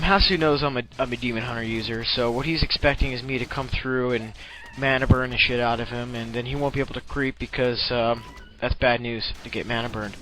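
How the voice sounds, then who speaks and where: Bright-voiced man doing casual game commentary. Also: medium pitch, rough recording. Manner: rambling, profane, jokey slang.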